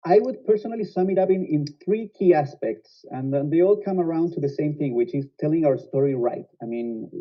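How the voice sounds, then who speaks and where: A man in his thirties, a sales manager giving earnest interview answers with frequent restarts. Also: mid-range voice. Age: 30s. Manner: earnest, many restarts and fillers. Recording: dull lo-fi sound.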